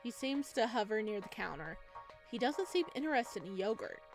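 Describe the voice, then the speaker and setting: A woman streaming a visual novel, reading aloud and reacting in a playful, dramatic, expressive way. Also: fairly high pitch, normal speed. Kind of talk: let's play, reading game narration aloud with reactions. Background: game music.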